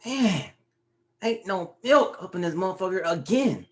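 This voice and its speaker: Brief: man voicing cocky, foul-mouthed teen boy, lively pitch. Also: moderate pace. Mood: mouthy. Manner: blunt, profane, cocky. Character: mouthy fifteen-year-old grandson. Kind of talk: table read.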